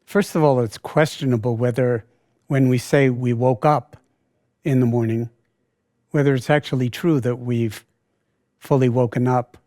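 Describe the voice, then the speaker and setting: A man leading a guided meditation in a calm, unhurried way, often pausing mid-sentence. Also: mid-range voice, no echo, clean studio sound. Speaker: meditation teacher.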